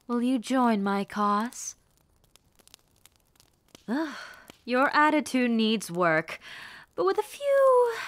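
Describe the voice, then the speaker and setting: A woman playing a bitter, haughty, scheming rebel warlord princess in a scripted roleplay, speaking formally and deliberately with theatrical delivery. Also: a little high, fairly bright tone, no echo, exaggerated voice. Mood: commanding, persuasive, then teasing, haughty. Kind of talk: scripted roleplay monologue to the listener.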